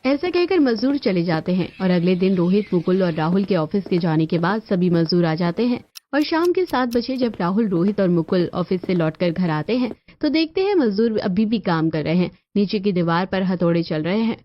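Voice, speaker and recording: A woman's voice: medium pitch, lively intonation, moderate pace, few pauses. A woman giving scripted narration for a cartoon story. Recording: dull lo-fi audio with music.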